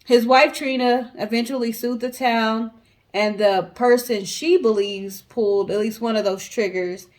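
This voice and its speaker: American woman, storytelling co-host, casual true crime narration, colloquial phrasing, mid-range voice, speaks straight to viewers. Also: mid-range voice. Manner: conversational, colloquial, addresses audience directly.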